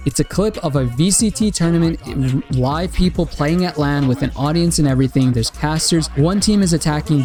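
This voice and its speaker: A man's voice: fairly high pitch, a little mellow. A man giving a casual, opinionated game review straight to his viewers while he is losing his voice. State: losing his voice, dry mouth. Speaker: gaming content creator. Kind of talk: spoken game review and feedback.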